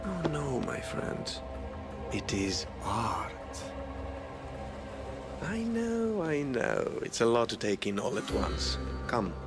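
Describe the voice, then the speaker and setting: An actor playing a suave, theatrical schemer who taunts pompously in a video game. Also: male voice, mid-range voice, sing-song, slow. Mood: mock soothing. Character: suave, theatrical, mocking villain, male schemer. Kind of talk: video game cutscene acting. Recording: background music under the voice.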